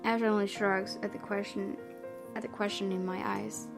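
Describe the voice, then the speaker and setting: A woman narrates a visual novel aloud as a gaming host. Her voice sits at a medium pitch, and game music plays clearly behind it. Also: little pitch movement, normal speed.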